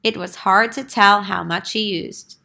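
A woman reading a picture book aloud to young children in a deliberate, emphatic teacher's manner. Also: mid-range voice, steady tone, gravelly, sharp tone.

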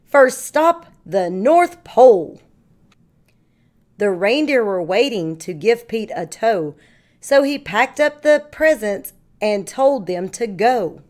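A woman reading a rhyming picture book aloud to young children like a kindergarten teacher, with measured, deliberate phrasing. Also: medium pitch, expressive, a little mellow.

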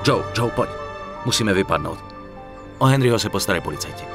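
An actor playing a level-headed, practical young mobster with a coarse, urgent way of talking in a dubbed game. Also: male voice, lowish voice, lively intonation, over music. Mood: shocked, urgent. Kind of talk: dramatic game dub acting.

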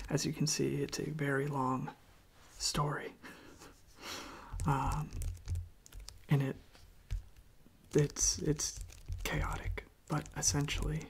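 A young man speaking in a hushed, breathy, mostly whispered ASMR style, unhurried and halting, close to the microphone. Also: on the high side, slight background noise. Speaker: ASMR video creator. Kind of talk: soft-spoken ASMR.

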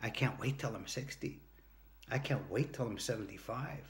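A man's voice: mid-range voice, normal speed, a little hiss or hum. A man in his early fifties giving an earnest, emphatic motivational talk, telling anecdotes straight to the camera. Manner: earnest, emphatic, anecdotal, acts out short quoted exchanges. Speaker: motivational speaker and workshop leader. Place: indoors, home room with sloped ceiling. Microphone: phone or device at arm's length.

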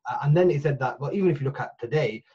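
A man in his forties talks over a video call from a small room at home, rambling and restarting as he gathers his thoughts. His voice is husky, and the call audio comes through dull and muffled. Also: mid-range voice, animated, very fast, dark tone. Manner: rambling, full of restarts and fillers. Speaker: podcast guest. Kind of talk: casual podcast chat.

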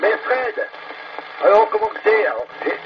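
A man bantering casually over FM radio, informal and rambling in a joking way. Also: normal speed. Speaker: amateur radio operator. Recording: muffled, very noisy radio transmission.

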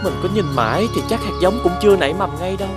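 A woman voicing an earnest, determined young boy in an animated fairy tale, with an expressive delivery. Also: fairly low pitch, rapid-fire, loud music behind the voice, natural voice. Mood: hopeful, wistful.

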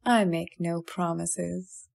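A woman's voice: on the low side, fairly even intonation, takes it slowly, gravelly, darkish tone, no echo, studio quality, heavily acted. A woman playing a cool, commanding fairy ruler in an audio play.

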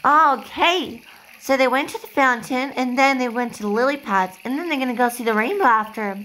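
A woman playing an eager, bossy young girl who directs a homemade movie in doll play, with excitable, drawn-out delivery. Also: sits high, a little hiss or hum, low-quality recording. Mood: eager, directing.

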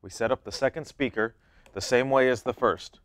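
A man's voice: medium pitch, moderate pace, fairly bright tone, slight background noise. A man in his thirties giving plain, step-by-step how-to instructions as an equipment demonstrator.

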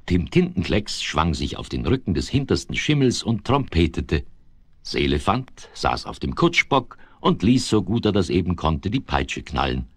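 A man's voice: sits low, sing-song, normal speed, breathy edge, no room sound, full character voice. A man narrating a children's audio play as a scripted storyteller.

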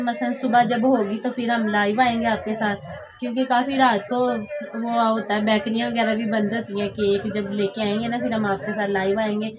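A woman's voice: a little high, background noise. A woman in her thirties chatting to her viewers in a friendly way, urging them to subscribe, while seated indoors. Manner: friendly, repeats appeals to subscribe. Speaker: vlogger. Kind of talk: casual chat to viewers. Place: room indoors, seated on floor mattress.

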